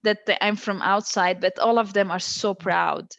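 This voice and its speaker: A woman's voice: mid-range voice, fairly even intonation, moderate pace, creaky, a bit bright, no echo, highs cut off. Earnest woman. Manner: emphatic, repeats phrases for stress. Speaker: guest, stateless-rights advocate. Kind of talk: earnest interview answers.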